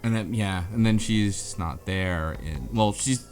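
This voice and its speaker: A man's voice: on the low side, a little slow, a little mellow, faint music behind. A man hosting a casual group discussion, thinking aloud with frequent restarts and asides. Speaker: podcast host.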